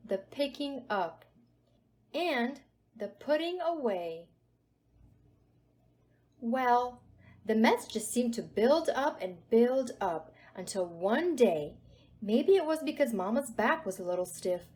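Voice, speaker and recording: A woman's voice: on the high side, a little mellow. A woman narrating a children's storybook aloud expressively, with dramatic emphasis on key words. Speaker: storybook reader.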